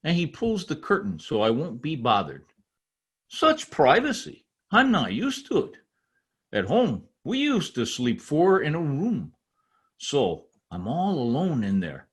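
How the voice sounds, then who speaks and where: An earnest immigrant tradesman from a radio play, with a foreign accent and halting simple English. The voice is husky and high, swinging widely with proud excitement, and takes its time. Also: exaggerated voice. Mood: elated, proud. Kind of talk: old-time radio comedy acting.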